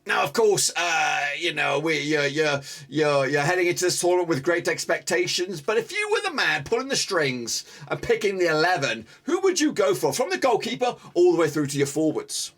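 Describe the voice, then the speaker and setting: British male football host, high-pitched, punchy and excitable banter. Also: moderate pace, few pauses. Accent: British English. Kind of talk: energetic football fan interview. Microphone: phone or video call.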